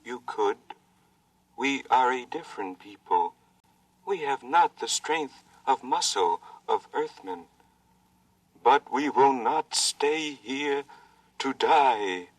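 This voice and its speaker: A grave Martian elder, speaks in slow, formal phrases. The old radio play sound is dry and a little distant from the microphone. His pitch sits in an ordinary male middle. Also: expressive, no echo. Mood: solemn. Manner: slow, measured, formal speech. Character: old Martian highland native, grave and sorrowful. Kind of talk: 1950s radio drama acting. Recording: dry vintage radio transcription.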